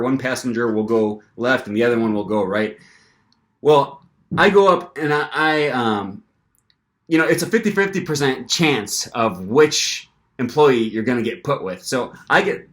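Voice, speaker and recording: Young man telling a story to camera. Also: medium pitch. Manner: animated, lots of fillers and restarts. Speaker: language-learning vlogger.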